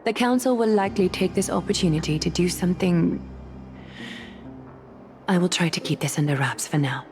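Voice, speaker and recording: An actress plays a composed, cautious noblewoman of a fantasy game. Her voice sits fairly low with a creaky edge, formal and solemn. Music plays clearly behind her. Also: normal speed, long pauses, a little mellow, natural voice. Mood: grave. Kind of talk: scripted video game drama.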